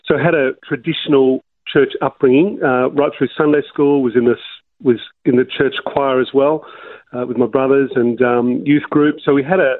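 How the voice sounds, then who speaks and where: A man's voice: mid-range voice, fairly even intonation, moderate pace, no echo. An Australian man in his sixties from Melbourne, telling his life story as an interview guest with many fillers and restarts. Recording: dry, tinny phone-like line.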